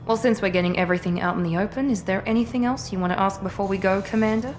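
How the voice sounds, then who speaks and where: A woman's voice: mid-range voice, racing, a little hiss or hum, natural voice. An actress playing a cool, clipped woman officer who directs a secret project, speaking in a dry, faintly condescending manner. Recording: game audio with music score.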